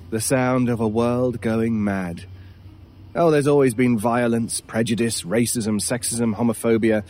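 An actor playing a world-weary, haunted British stranger in an audio horror drama, speaking in a wry, brooding way with dramatic pauses. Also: male voice, mid-range voice, a little mellow, lightly acted.

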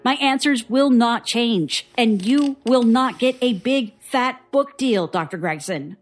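An actress playing a bitter, guarded young woman, a traumatized survivor, in an audio drama, husky and sardonic. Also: on the high side, animated, unhurried, soft background music. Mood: scornful, angry. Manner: sardonic, defensive.

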